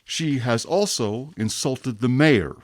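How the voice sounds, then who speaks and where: A man's voice: mid-range voice, takes it slowly, no echo, close to an everyday voice. An actor playing a rigid, dutiful male police inspector in a radio drama, cold and formal. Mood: stern.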